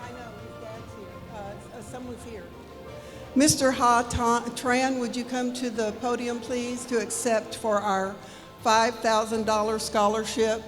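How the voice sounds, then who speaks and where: A fairly high-pitched woman announces award winners at a podium. Her voice rings out with a long reverb through a large event hall. Clear music plays behind her. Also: little pitch movement. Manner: reads names slowly with small apologetic corrections. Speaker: presenter at a community awards ceremony. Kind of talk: formal announcing into a microphone. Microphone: podium microphone. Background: background music.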